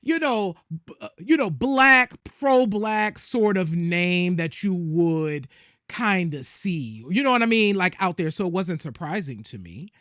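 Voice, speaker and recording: A man's voice: high voice, lively intonation, a little slow, a bit bright, no room sound, studio quality. A man co-hosting a podcast, talking casually and conversationally, checking in with his listener as he goes. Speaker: podcast co-host.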